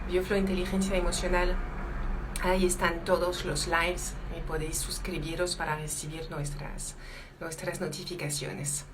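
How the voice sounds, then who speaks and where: A woman from France with a French accent, a native French speaker talking live to viewers as a teacher, halting often and slipping Portuguese words into her Spanish. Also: on the low side, slight background noise. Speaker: emotional intelligence teacher hosting a live stream. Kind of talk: live-stream talk to viewers.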